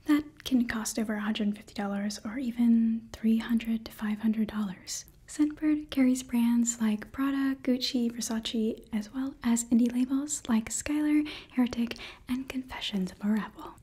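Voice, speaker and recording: Very breathy young woman whispering. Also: on the high side, mellow. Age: young adult. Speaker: ASMR host reading a sponsor ad. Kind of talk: ASMR whispered product review. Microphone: close microphone.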